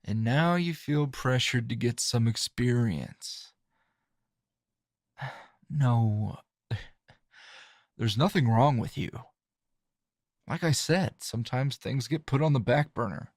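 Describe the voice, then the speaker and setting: A man playing a calm, dry, teasing hero and coworker in an intimate ASMR roleplay, talking in a low-key, halting, conversational way. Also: dry recording. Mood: reassuring.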